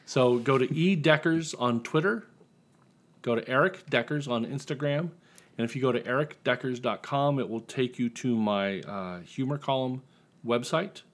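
A man's voice: mid-range voice, normal speed. A man chatting casually as an author guest in an interview, telling his story in a wry, dry way.

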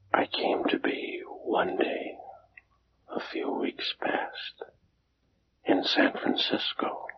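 Deep, slow, grave immortal ancient Egyptian, theatrical and tormented, old dull radio recording. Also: male voice. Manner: grave, theatrical, formal diction. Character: Sosra, anguished immortal ancient Egyptian man, weary of life. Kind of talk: 1940s radio drama acting and narration. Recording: dull 1940s radio recording, music behind.

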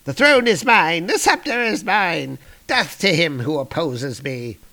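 A man's voice: on the high side, expressive, unhurried, bright, forceful tone, voice pushed up, heavily acted. A man voicing a gloating, scheming usurper prince in a comic-book story, with haughty, theatrical archaic speech.

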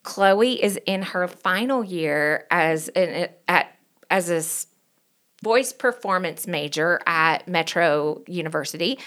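A woman's voice: fairly low pitch, lively intonation, takes it slowly. A woman in her forties from Tennessee with a Southern accent, chatting earnestly as a pastor and podcast co-host. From Knoxville, Tennessee. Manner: warm, earnest, rambling with restarts. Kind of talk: casual chat.

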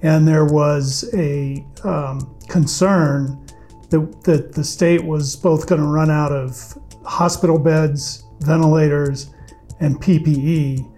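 A man, a podcast guest, speaking with a raspy voice from allergies and explaining things in a measured way with some fillers. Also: on the high side, steady tone, mellow, faint music behind. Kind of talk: conversational interview answers.

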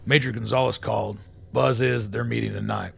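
A man plays a gruff, world-weary old military colonel in an audio drama. His voice is lowish and heavily creaky, blunt and folksy with dry wit. There is faint noise behind him. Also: fairly even intonation, a little fast. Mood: weary, troubled. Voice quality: gravelly. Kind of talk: radio play acting. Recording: noticeable background noise.

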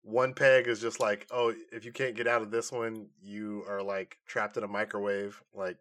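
A man co-hosting a casual pop culture podcast, talking in a jokey, excitable way. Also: fairly low pitch, normal speed. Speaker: podcast co-host.

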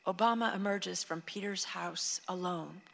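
A woman's voice: fairly low pitch, unhurried, creaky, fairly bright tone, in a large hall, heavily acted. A woman narrating a radio play, reading scripted stage directions with composure. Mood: measured.

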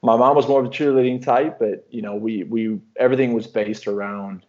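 A young man from Illinois talking casually in an interview, relaxed and rambling, often restarting his sentences. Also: medium pitch, fairly quick, muffled recording. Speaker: professional basketball player.

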